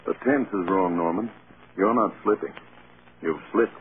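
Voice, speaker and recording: A man's voice: sits low, moderate pace, lightly acted. Man as a candid film producer, crackly radio. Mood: reluctant, frank. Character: tactful male film producer, patient and candid. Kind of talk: vintage American radio drama acting. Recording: scratchy, tinny old radio broadcast.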